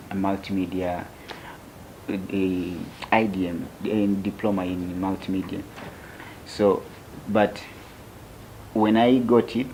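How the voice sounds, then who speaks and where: Ugandan man in his twenties, interview guest; very low, gravelly voice, slow and soft-spoken, faint hiss behind. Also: poor audio. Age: 20s. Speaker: young tech founder, interview guest. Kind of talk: interview answers.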